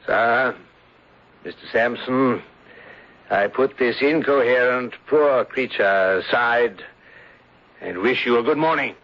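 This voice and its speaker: An actor playing a scheming, coolly defiant gentleman villain with formal, pompous phrasing in an old radio drama. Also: mid-range voice, slow pace, long pauses, slight background noise. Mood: coldly defiant. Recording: dull, lo-fi radio drama recording.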